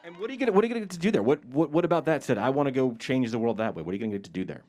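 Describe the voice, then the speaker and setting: Energetic male event host, pitch that swings widely, chatty and playful with the graduates. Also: very fast. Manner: energetic, joking, repeats questions. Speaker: pre-ceremony host interviewing graduates. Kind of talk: upbeat live-stream interviews. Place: outdoors at a graduation ceremony.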